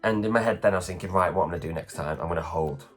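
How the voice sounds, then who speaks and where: A man in his thirties from England, telling self-deprecating stories in a casual morning vlog, his voice low and worn after a late night. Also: rapid-fire, faint music behind. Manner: chatty, self-deprecating, acts out others' lines. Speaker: vlogger, performer. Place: at home.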